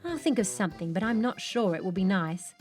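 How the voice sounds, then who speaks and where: A woman's voice: medium pitch, lively intonation, brisk, close to an everyday voice. A woman voicing a greedy, bad-mannered male wolf from a children's storybook, pushy and cheeky, trying to sound scary, with music behind. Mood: cheeky hopeful.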